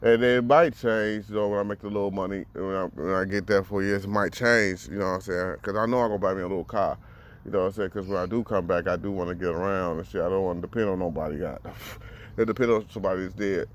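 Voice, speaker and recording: A man's voice: deep voice, steady tone, quick pace, slight background noise. A man in his thirties talking bluntly and profanely in a casual monologue, often repeating words as he gathers his thoughts. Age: 30s. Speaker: vlogger.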